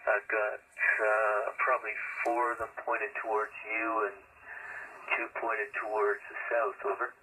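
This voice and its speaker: A man in a casual amateur radio chat, speaking in a measured, hesitant way over a radio transmission. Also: fairly low pitch, completely flat delivery, pushed and bright. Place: club radio station. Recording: noisy shortwave ham radio signal.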